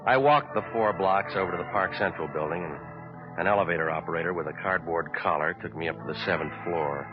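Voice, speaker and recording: A very low-pitched, hard-boiled American private eye acted out by a man, narrating in terse, deadpan wisecracks. It is a 1940s radio detective play on a dull vintage recording, with music behind. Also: quick pace, natural voice. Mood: dry, wry.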